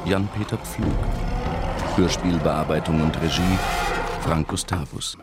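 A man's voice: a bass voice, a little slow. A man reading out the title and credits of a radio drama as a scripted announcement. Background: loud music.

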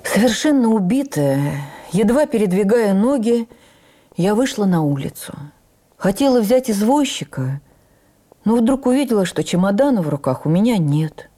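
A woman reading a first-person memoir as a radio drama narrator, with expressive, measured storytelling. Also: medium pitch. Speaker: narrator, actress.

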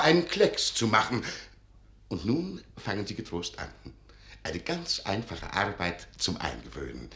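A roomy radio drama recording of an eccentric old-world archivist. His low voice leaps and dips dramatically as he speaks with formal, condescending courtesy. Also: a bit bright, heavily acted. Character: eccentric, courteous elder archivist, father and employer. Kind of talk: German radio play acting of a literary classic.

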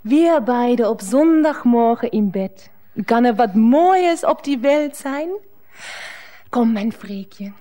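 A woman's voice: a little high, lively intonation, takes it slowly, warm tone. A woman playing a sweet, doting German lodger in a radio play, coaxing and slipping German words into her German-accented speech. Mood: coaxing, amorous.

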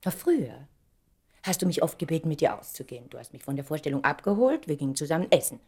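An actress in a German radio play playing a proud, probing woman who speaks in a deliberate, pointed, dramatic way. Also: low-pitched, very animated, a little mellow, breathy edge, exaggerated voice. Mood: wounded, reflective. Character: Valerie, proud woman, probing, articulate, emotionally insistent.

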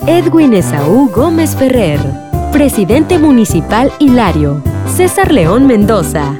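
Lady reading radio show credits, lively up and down, music really loud behind her. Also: medium pitch. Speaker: announcer.

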